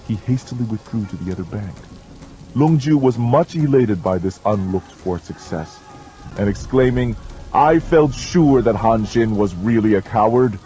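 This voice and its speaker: A man narrating a scripted audiobook war history in a formal, measured storytelling manner. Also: lowish voice, warm tone, poor audio.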